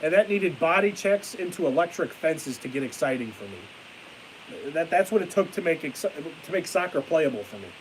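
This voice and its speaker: A man's voice: fairly high pitch, normal speed, low-quality recording. Young guy streaming, stuffy nose, voice going, rants then mumbles, hissy mic. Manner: rambling, excitable rants that trail off into tired mumbling. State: stuffy-nosed, losing his voice. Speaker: live streamer. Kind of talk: casual live stream chat.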